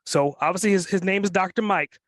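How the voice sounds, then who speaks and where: A man's voice: sits high, a little fast, no room sound, clean studio sound. A man hosting an interview show, introducing his guest with eager, loosely phrased talk full of "like" fillers. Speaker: podcast host.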